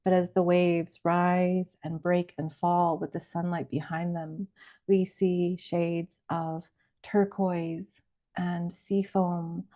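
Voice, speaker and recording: A woman leading a guided visualization as a relaxation guide, speaking in a soothing, unhurried way. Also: fairly low pitch, level tone, warm tone. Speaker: laughter yoga leader, meditation guide. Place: live online or group session recording. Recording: band-limited, phone-like sound.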